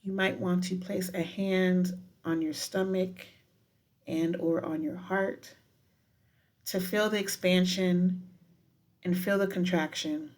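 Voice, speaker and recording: A woman guiding a meditation in a deliberate, unhurried manner with frequent pauses. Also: lowish voice, darkish tone. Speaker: professor guiding a breathing meditation. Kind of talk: guided meditation with spoken poetry.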